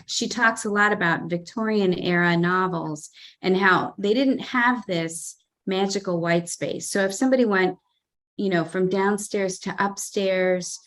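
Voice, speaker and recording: A middle-aged woman presenting a writing workshop over a video call, earnest and enthusiastic as she reads excerpts aloud. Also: on the low side. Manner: earnest, enthusiastic about examples.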